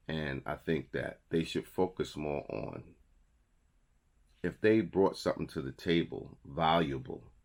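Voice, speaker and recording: A man in his thirties with a New York accent, giving a motivational talk to camera in a conversational, emphatic way and repeating his key phrases. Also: very deep voice. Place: small indoor studio with a logo wall.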